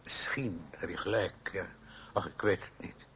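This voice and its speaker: An actor playing a gruff but soft-hearted old aristocratic godfather in a radio play, grumbling and hesitant in a paternal way. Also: low voice, unhurried, exaggerated voice. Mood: mollified. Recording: muffled old radio play, faint noise.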